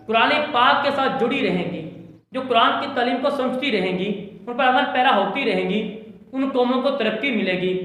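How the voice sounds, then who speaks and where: A man from Pakistan with a Pakistani accent, teaching in a formal lecture style, oratorical and deliberate, repeating phrases for emphasis. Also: extremely high pitch, fairly bright tone. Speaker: Urdu language and literature teacher. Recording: strongly echoing, reverberant room sound.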